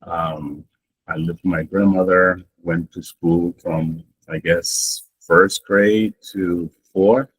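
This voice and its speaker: Man in his 60s, from Honduras, Garifuna first language; low husky voice, easygoing storyteller for an interview. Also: slow pace, rough recording. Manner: relaxed, rambling, many fillers and restarts. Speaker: guest. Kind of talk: interview, personal life story.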